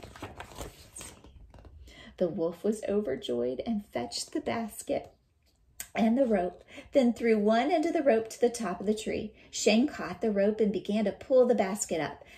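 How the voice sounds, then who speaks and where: A woman reads a children's story aloud as a teacher would, in a fairly high, very animated voice. Her telling is dramatic and emphatic, with questions put to young listeners. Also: a little slow, slight background noise.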